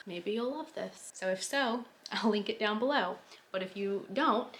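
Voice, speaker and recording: A woman's voice: mid-range voice, sharp tone. A woman in her late twenties giving a casual clothing try-on review, chatty with lots of fillers, a bit stuffy from a sinus infection. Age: 20s. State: nasal, recovering from a sinus infection. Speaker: fashion vlogger.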